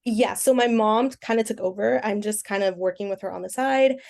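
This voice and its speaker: A woman in her twenties from the United States answers as a podcast guest at a middle pitch. Her tone is relaxed and matter-of-fact. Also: normal speed, vocal fry. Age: 20s. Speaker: guest, fashion content creator. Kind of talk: casual interview answers.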